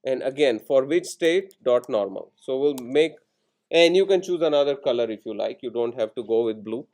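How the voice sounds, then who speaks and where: A man lecturing in programming, explaining each step in short instructional phrases with pauses between them. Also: mid-range voice, animated, a little mellow. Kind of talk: step-by-step classroom coding tutorial.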